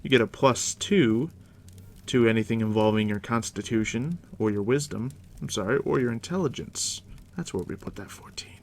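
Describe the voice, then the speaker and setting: Guy whispering asmr as a game master, super crackly voice, slow reassuring talk right up close. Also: mid-range voice. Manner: unhurried, reassuring, talking directly to the listener. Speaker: game master guiding a new player. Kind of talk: ASMR roleplay, soft deep whispering.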